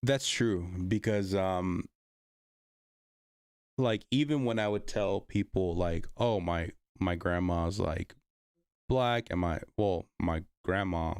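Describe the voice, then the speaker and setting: A man's voice: deep voice, slow pace, a little mellow, clear, resonant tone, no echo, studio quality. A man from the Bay Area, hosting a casual livestream, reading chat and rambling with many fillers and restarts. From Bay Area, California.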